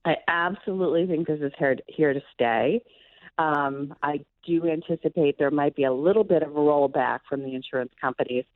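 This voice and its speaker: A woman's voice: low voice, a little fast, no room sound. A thin, phone-like line carries a woman's voice with hardly any room sound. She is a physician and medical director answering interview questions in an explanatory way.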